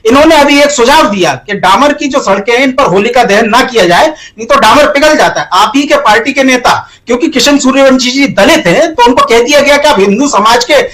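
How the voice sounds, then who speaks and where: A man's voice: very high-pitched, expressive, a little fast, hardly any pauses, a bit bright. A man arguing combatively as a panelist in a televised political debate, pressing rhetorical questions.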